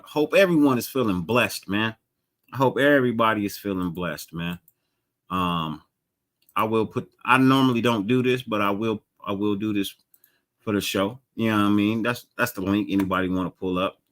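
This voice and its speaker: A man hosting a casual live sports podcast, greeting chat viewers by name and talking loosely with a mild stutter and repeated words. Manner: gets higher and more animated when excited. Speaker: podcast host.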